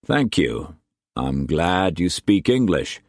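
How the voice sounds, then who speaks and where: A steely merchant captain, read by a man, calm and cold. His voice sits deep and unhurried, every word measured. The recording is dry and close. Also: no echo, exaggerated voice.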